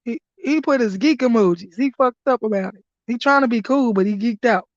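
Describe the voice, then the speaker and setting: Hoarse, rowdy woman live-stream host. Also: fairly high pitch, fairly quick, dry recording. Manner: loud, laughing, profane hype commentary. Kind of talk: casual live-stream chat. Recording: dry, dull lo-fi stream audio.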